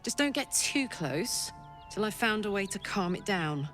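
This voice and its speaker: A woman voicing a brash, warm-hearted tiefling warrior in a video game, with cocky soldierly banter. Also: mid-range voice, very animated, a little slow. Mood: playful, cocky. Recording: music behind the voice.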